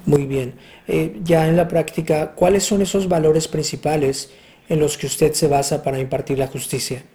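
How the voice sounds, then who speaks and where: An interviewer asking measured questions, with hesitant fillers. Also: mid-range voice, fairly even intonation, mellow.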